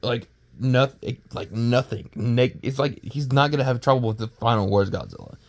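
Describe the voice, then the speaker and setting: A man hosts his own video with loose, unscripted commentary at a middling pitch. He talks in a halting, rambling way, tripping over words and restarting his sentences. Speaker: video creator and host. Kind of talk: casual unscripted power-scaling commentary.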